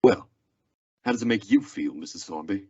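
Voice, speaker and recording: A man's voice: fairly low pitch, expressive, moderate pace, slightly rough. A young man acting a weary, plainspoken Old West marshal in a radio Western drama. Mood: grieved, bitter. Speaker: actor in a school radio theatre production. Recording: dull lo-fi radio-play recording.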